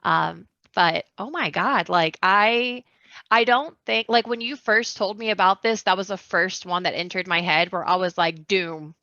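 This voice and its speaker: A woman's voice: mid-range voice, measured pace, pushed and bright, dry recording. A woman chatting casually as a podcast guest in a rambling, chatty way, on a slightly lo-fi microphone. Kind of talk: casual chat.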